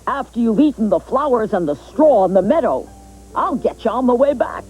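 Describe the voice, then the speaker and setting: A woman voicing a mean old male troll who guards a bridge, speaking slowly and menacingly. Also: on the high side, big pitch swings, lo-fi sound, faint music behind. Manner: slow, drawn-out menacing delivery.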